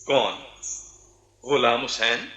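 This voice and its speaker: An actor playing a proud, impassioned young Indian ruler in a theatrical Hindi radio play, with a grand, deliberate, declamatory delivery. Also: male voice, mid-range voice, steady tone, bright, forceful tone, muffled recording. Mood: startled, curious.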